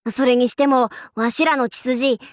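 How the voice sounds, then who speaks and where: A woman's voice: high voice, steady tone, normal speed, no echo, studio quality, lightly acted. An actress playing a boastful young female demon-king warlord in an anime game, with archaic lordly speech that flips between haughty and goofy. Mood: breezy, teasing. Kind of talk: Japanese anime game character voice lines.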